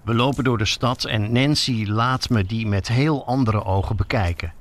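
A man's voice: medium pitch, normal speed. A man presenting as a reporter, narrating a scripted documentary in a reflective manner.

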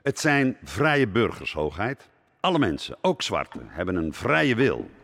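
A man's voice: fairly low pitch, big pitch swings, unhurried, fairly bright tone, full character voice. An actor playing an old, anxious statesman advising a prince, deferential yet admonishing, in a radio drama. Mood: grave. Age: older. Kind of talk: Dutch radio drama acting. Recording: long hall reverb.

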